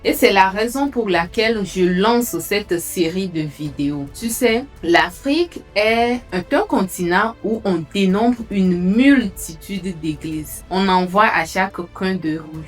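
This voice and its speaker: A woman hosting her own channel, talking directly to the viewer in a conversational way and often asking them questions. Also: mid-range voice. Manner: conversational, asks the listener questions. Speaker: host. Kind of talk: casual direct-to-camera talk.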